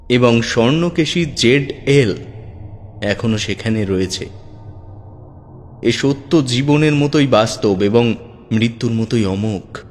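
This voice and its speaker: Male narrator, mid-pitched, measured and suspenseful delivery, long reverb with faint music beneath. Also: a little mellow. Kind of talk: first-person horror story narration. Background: faint background music.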